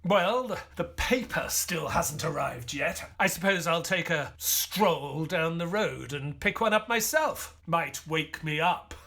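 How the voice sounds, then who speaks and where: Audio play casting: a weary British Victorian doctor, loyal, easily exasperated, very mobile pitch, slightly husky. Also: male voice, slow, hardly any pauses, exaggerated voice. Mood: flustered, tired. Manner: old-fashioned, formal, expressive. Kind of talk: audio drama acting with first-person storytelling.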